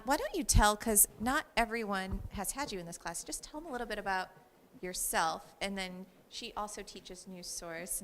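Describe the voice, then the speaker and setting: A woman's voice: mid-range voice, sharp tone, clear, resonant tone. A young woman hosting a student news show wrap-up, upbeat and full of praise as she introduces the professor. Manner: upbeat, praising, laughing quotes. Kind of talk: post-show class wrap-up. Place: college newsroom classroom. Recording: echoey room with faint noise.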